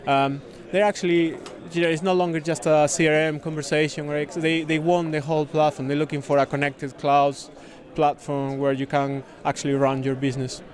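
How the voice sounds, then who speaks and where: A man from Spain with a Spanish accent, a native Spanish speaker, explaining a product in a casual interview with halting, repeated words. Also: a little high, flat delivery. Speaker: software consultant demonstrating a product, interviewee. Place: busy conference venue.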